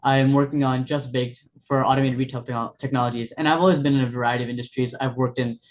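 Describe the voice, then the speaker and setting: Flat-voiced young man. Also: mid-range voice, fast talker. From Florida. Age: young adult. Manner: flat delivery, uneven pace, with fillers. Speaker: guest, student CFO. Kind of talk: self-introduction in an interview.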